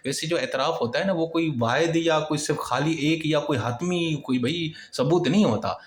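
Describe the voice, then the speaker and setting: A man's voice: fairly high pitch, moderate pace. A man with a Pakistani accent giving an informal exam-prep lecture, explaining point by point in a conversational way with everyday examples. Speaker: law lecturer. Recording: echoey, reverberant room sound.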